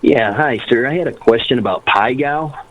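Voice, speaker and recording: A man's voice: mid-range voice, moderate pace, a bit bright, lo-fi sound, natural voice. A man voicing a nervous adult male caller who stammers and pleads. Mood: complaining. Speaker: mock caller.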